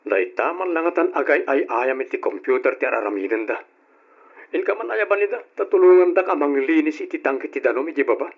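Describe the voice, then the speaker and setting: A bossy, easily irritated husband speaks in a fairly high voice with an Ilocano Filipino accent. He is insistent and scolding, in vintage radio drama style, on a slightly muffled lo-fi recording. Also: lively intonation, fairly quick, husky. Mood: scolding, impatient. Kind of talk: old-fashioned radio drama acting.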